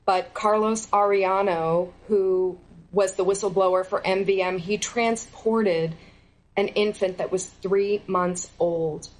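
A woman's voice: mid-range voice, fairly even intonation, slow pace, low-quality recording. A middle-aged woman answering interview questions as a former federal employee, speaking earnestly and stressing key words with indignation.

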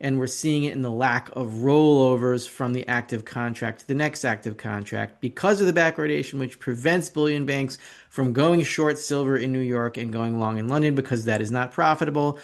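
A man's voice: mid-range voice, moderate pace. Opinionated man rambling through market commentary. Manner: opinionated, rambling, sarcastic asides. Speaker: host of an investing video report. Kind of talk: casual market commentary with slides.

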